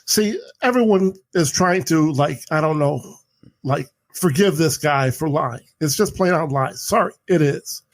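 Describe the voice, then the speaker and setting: A man co-hosting a podcast in casual chat, insistent and opinionated, with quick apologetic asides. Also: on the high side, expressive, dry recording. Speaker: podcast co-host.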